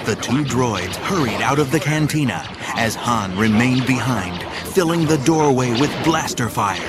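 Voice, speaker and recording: A man narrating a scripted adventure drama in a theatrical, suspenseful way, with music behind him. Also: mid-range voice.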